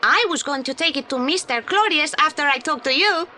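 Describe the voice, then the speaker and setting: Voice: sits high, lively intonation, brisk, pushed and bright, slight background noise, heavily acted. An actor playing an earnest, polite young boy with a Spanish accent and broken English in an audio play. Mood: earnest, eager. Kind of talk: 1980s audio play acting.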